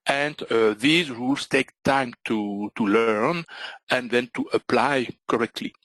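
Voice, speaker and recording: Belgian French-accented man, webinar instructor, halting delivery, dry sound. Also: medium pitch, fairly bright tone, no echo, poor audio. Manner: halting, many "uh" and "so" fillers. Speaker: trading instructor. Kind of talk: webinar presentation.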